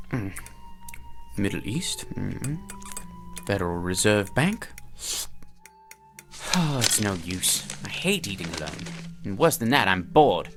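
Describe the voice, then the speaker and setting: An actor playing a fussy, lonely, self-satisfied office worker who dislikes people, in theatrical audio drama style. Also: male voice, medium pitch. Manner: pompous, fussy, muttering to himself.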